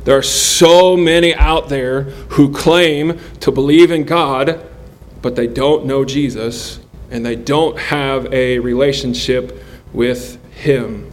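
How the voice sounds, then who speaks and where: A man in his forties preaching a sermon in an earnest, measured way, pausing between phrases. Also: mid-range voice. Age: 40s. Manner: earnest, measured delivery with pauses.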